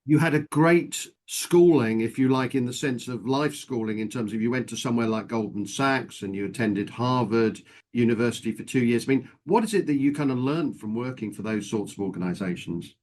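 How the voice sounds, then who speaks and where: A man with a medium-pitched voice works as a podcast host. He speaks in the style of an interviewer, presenting and questioning a guest. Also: brisk, a little mellow.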